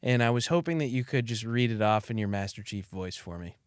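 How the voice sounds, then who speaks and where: A man's voice: fairly low pitch, expressive, brisk, clean tone, no echo, studio quality. A man interviewing a guest, eager and enthusiastic. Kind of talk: casual interview.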